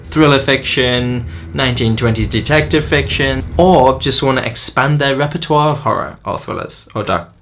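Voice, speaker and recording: A man's voice: mid-range voice, normal speed, hardly any pauses, fairly bright tone, a little hiss or hum. A man narrating a scripted book review in an enthusiastic, opinionated way. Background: faint music.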